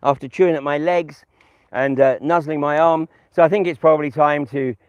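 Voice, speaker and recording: A British man giving an informal political monologue to camera outdoors in a field, hesitant and wry, with emphatic pauses. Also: on the high side, steady tone, clear, resonant tone, no echo, highs cut off. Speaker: vlogger and political commentator. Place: outdoors in a farm field by a public footpath.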